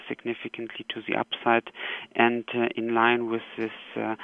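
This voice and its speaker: A man giving halting, analytical answers as an expert guest over a tinny telephone line. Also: medium pitch, no echo. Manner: hesitant, halting phrasing. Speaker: bank economic analyst, interview guest. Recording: tinny, narrow phone line.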